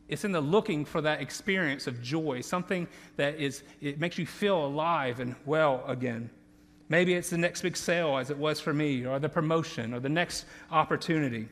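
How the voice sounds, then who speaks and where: A man's voice: fairly high pitch, normal speed, fairly bright tone. A man in his thirties with a Southern accent from Louisiana, preaching a sermon as campus pastor in an earnest, conversational way with many restarts. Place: large church auditorium. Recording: long reverb of a large church hall.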